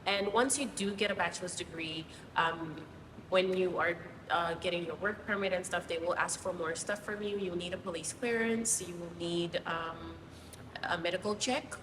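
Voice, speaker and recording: A woman from Zimbabwe, a guest teacher, explaining things casually with many pauses and ums. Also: fairly low pitch, steady tone. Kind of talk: casual interview answers. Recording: distant mic, roomy hall, noisy.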